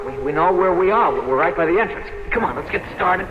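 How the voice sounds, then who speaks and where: A man's voice: sits high, expressive, a little fast, echoey room, highs cut off, heavy background noise. An actor playing a flustered trapped lover in an old-time radio drama, stammering and repeating himself as he tries to reassure. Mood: reassuring, tense.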